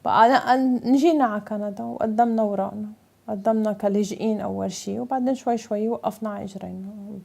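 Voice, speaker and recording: A woman's voice: mid-range voice, steady tone, racing, creaky, dark tone. A mature Lebanese woman, a native Arabic speaker, telling her personal story in an emphatic way with a Lebanese accent. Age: 50s or older. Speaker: interviewee, mother telling her life story. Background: plucked guitar music.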